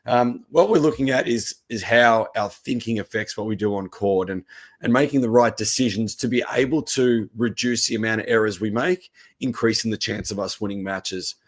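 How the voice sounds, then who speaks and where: A man, a tennis coach, giving a relaxed presentation with a croaky voice. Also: on the low side, moderate pace. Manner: steady explaining, relaxed. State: hoarse.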